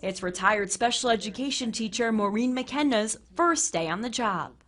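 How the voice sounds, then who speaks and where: American woman news reporter, slightly high voice. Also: animated, moderate pace, fairly bright tone. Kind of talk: scripted news narration.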